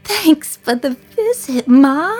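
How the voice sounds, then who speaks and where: A woman's voice: high voice, expressive, takes it slowly, a little mellow, soft background music. An actress in her thirties playing a condemned woman in prison, reflecting in a wry, sardonic radio-play monologue. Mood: reflective.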